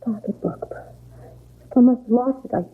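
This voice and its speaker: High, husky, bewildered young woman. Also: normal speed. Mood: evasive, confused. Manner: hesitant, stammering. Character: bewildered young woman patient with amnesia, evasive and hesitant. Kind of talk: 1970s radio drama acting. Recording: tinny, band-limited old radio broadcast.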